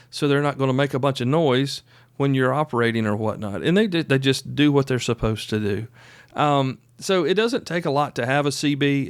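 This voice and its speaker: A man's voice: mid-range voice, clear, resonant tone, dry recording. A man hosting a podcast, giving casual instructional talk in a folksy, plainspoken, conversational way. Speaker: podcast host.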